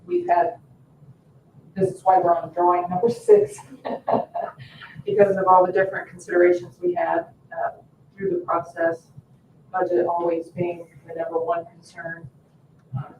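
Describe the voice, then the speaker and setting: Distant, roomy voice chairing a meeting. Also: medium pitch. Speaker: board member chairing the meeting. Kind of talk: formal public meeting discussion. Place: indoor public meeting room. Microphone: distant room microphone. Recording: roomy, distant, muffled mic, faint noise.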